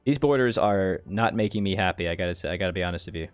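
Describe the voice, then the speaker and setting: A man in his thirties with a gravelly voice, livestreaming a strategy game from home, chatty and joking while reading chat and thanking followers. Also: low-pitched, fast, no room sound, soft background music. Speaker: streamer. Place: home streaming room, indoors.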